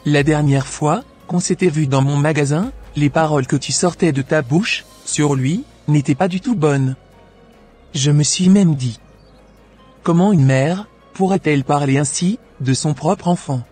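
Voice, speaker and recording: A man playing a frank, motherly aunt in an amateur cartoon, husky and measured as he admonishes over music. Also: a little high, a little mellow. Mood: earnest admonishing. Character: motherly aunt, frank moral adviser, female shopkeeper. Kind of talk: amateur animated drama acting. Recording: background music.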